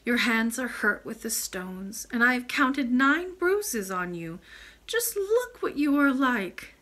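A woman voicing a kind, wise, ancient grandmother in a storybook, gentle, measured and formal. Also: on the high side, big pitch swings, voice pushed up, heavily acted. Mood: patient, tender.

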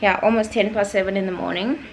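A woman's voice: mid-range voice, fairly even intonation, fast. A South African woman of 21 chatting casually and playfully to her vlog viewers, with sassy slang, into a camera held at arm's length. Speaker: vlogger. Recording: clear background noise.

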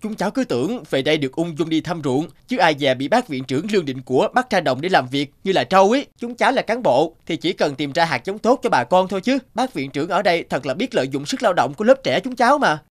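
A man's voice: on the high side, very animated, quick pace, few pauses. A man voicing a naive young agriculture trainee in a cartoon, given to complaining. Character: eager, grumbling young agriculture student trainee. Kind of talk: dubbed cartoon dialogue. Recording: music behind the voice. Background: music.

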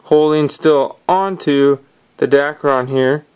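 A man calmly explaining step by step how to tie fishing knots, talking while his hands work at a table indoors. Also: on the high side, takes it slowly, clear, resonant tone. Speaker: fishing instructor. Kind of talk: hands-on knot-tying tutorial.

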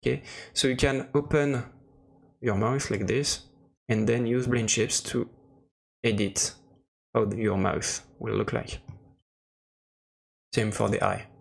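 Slow, gravelly man demonstrating software. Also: medium pitch, steady tone. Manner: hesitant, searching for words. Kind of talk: casual screen-recorded tutorial narration. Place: voice-over for a screen recording.

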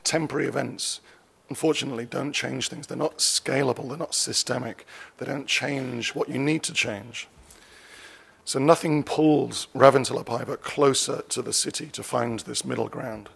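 Gravelly man giving a conference keynote. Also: medium pitch, steady tone, normal speed, a bit bright. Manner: conversational, self-correcting, dryly wry asides. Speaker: keynote speaker. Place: conference stage. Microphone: lectern mic.

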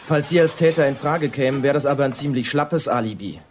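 An actor playing a sceptical, dry and pointed detective in a German radio crime drama. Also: male voice, mid-range voice, fairly quick, a little hiss or hum, lightly acted.

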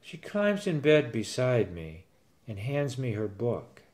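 Man in his sixties, grandfather narrator, scripted storytelling, acted dialogue, medium pitch. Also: very animated, slow pace, darkish tone.

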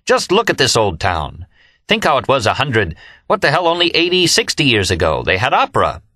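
A pompous young American man, acted by a male narrator holds forth grandly, gushing and affected, in an audiobook novel. His pitch lifts above a low natural register, and the sound is dry and close. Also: huge pitch swings, a little fast, pushed and bright, no echo, exaggerated voice.

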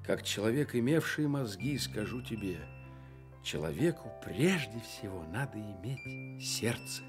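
A man's voice: on the low side, lively intonation, music in the background. An actor playing a sentimental, courteous iron woodman in a radio play, speaking earnestly and dramatically. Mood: sorrowful. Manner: earnest, dramatic, drawn-out delivery. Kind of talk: theatrical Soviet radio play acting.

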